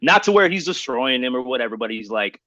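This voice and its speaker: A man's voice: medium pitch, very animated, fast talker, bright, forceful tone, dry recording. A man hosting a casual hip-hop podcast debate, speaking in an emphatic, slangy way and repeating himself for emphasis. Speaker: podcast host.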